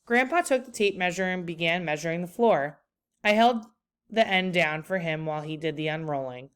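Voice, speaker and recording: A woman reads a children's book aloud in a smooth, clear voice pitched a little low. She tells the story expressively and deliberately, as a teacher would. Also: expressive.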